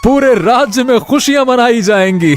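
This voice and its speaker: A man's voice: very high voice, expressive, normal speed. A man playing a grand, hearty king in a cartoon story, with a regal, expansive manner. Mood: jubilant. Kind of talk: Hindi cartoon story dramatic acting. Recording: music under the voice.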